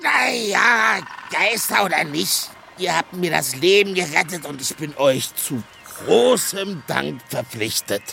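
Gravelly, blustery dwarf. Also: male voice, high-pitched, measured pace, few pauses, soft background music, exaggerated voice. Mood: hearty, loud. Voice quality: gravelly, creaky. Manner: blustery, excitable, colourful speech. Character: stocky, gruff, hearty male dwarf, talkative and hungry. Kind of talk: children's audio drama acting.

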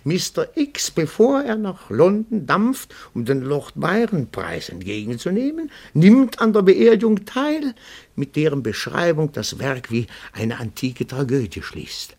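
Pedantic, creaky-voiced little amateur detective. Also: male voice, mid-range voice, heavily acted. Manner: formal, meticulous, deferential, swelling into rapturous declamation. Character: timid but dogged, pedantic petit-bourgeois amateur detective. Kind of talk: German radio play acting.

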